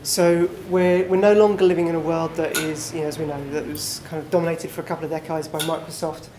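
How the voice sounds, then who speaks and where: Guy presenting at a small conference session, lots of ums, flat tone, echoey room, bit of hum. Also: fairly high pitch, quick pace. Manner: relaxed, filler-heavy, conversational. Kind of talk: conference talk. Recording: echoey room with faint background noise.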